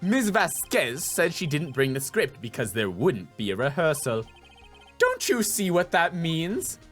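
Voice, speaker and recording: A man voicing a poised, proud male prosecutor in a video game, formal and deliberate, with music behind. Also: fairly high pitch, huge pitch swings, a bit bright, full character voice. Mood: assertive.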